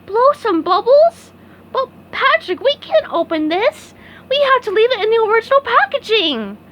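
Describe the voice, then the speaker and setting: Brief: woman putting on a very high, bouncy cartoon sponge boy, always thrilled. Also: unhurried, heavily acted. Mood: excited. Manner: squealing, breathless excitement. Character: cheerful excitable male sea sponge.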